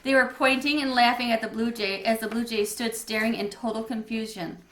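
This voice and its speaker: A woman's voice: fairly high pitch, fairly even intonation, brisk, a bit bright. A woman reading a children's storybook aloud as narrator in an echoey room. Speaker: read-aloud reader.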